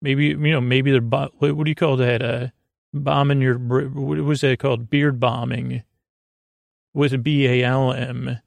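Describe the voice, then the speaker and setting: A man with a creaky voice hosting a bedtime podcast, meandering hesitantly through a soothing, goofy monologue that keeps interrupting itself. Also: medium pitch, little pitch movement, measured pace, dry recording. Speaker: podcast host.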